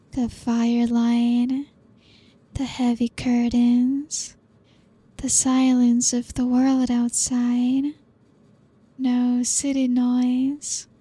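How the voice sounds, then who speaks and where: A woman playing a possessive female vampire who coaxes her guest in a soft, breathy ASMR roleplay. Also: a little high, full character voice. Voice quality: soft breathy whispery delivery. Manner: slow, teasing, coaxing.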